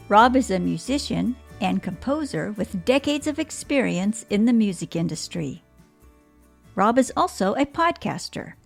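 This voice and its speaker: A woman hosting an interview podcast, speaking in a measured, clearly phrased way. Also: mid-range voice. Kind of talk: scripted podcast introduction and interview.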